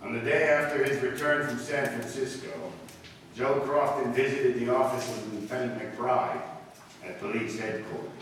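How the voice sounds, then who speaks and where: A man performing an ominous, taunting radio mystery narrator in a brooding, deliberate 1940s suspense style. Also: medium pitch, fairly even intonation, a bit bright, natural voice. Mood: grave. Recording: very echoey hall, distant mic, faint noise.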